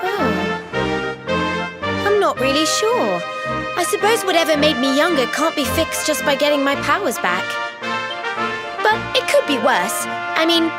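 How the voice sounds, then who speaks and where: A woman's voice: high-pitched, sing-song, a little slow, pauses often, over loud music. A woman voicing a feisty, self-assured little girl sorceress in a video game, plucky and cheeky. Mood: cheerful, wry. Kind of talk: video game cutscene acting.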